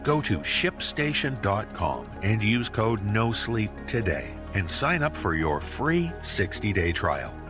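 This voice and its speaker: A man delivering a smooth, formal, scripted podcast ad read with fluent phrasing. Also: on the low side, sing-song, slow, few pauses. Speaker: podcast ad reader. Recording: background music bed under voice. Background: spooky atmospheric music.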